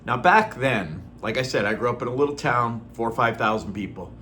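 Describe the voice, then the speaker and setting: A middle-aged man from Norway, born Norwegian-speaking, talking in an earnest, unhurried way with frequent ums and throat clearing in a personal vlog. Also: mid-range voice, expressive, pushed and bright, a little hiss or hum. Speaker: vlogger. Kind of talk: casual personal vlog talk.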